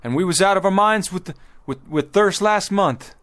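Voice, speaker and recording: A man playing a determined, stubborn pioneer father leading a wagon train in a radio drama, with rustic, emphatic and sometimes halting speech. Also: high voice, fairly bright tone. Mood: stubborn resolve. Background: music.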